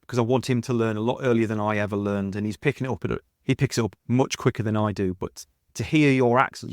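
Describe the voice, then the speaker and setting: A man's voice: medium pitch, dry recording, studio quality. A man in his thirties hosting a podcast, asking questions in an enthusiastic, complimentary way. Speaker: podcast host. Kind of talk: podcast interview.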